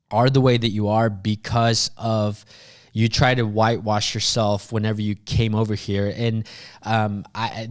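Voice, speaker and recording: A man from Mexico hosting a podcast, talking in a friendly, effusive way and saying dude and like a lot. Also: on the low side. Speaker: podcast host. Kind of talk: casual podcast conversation.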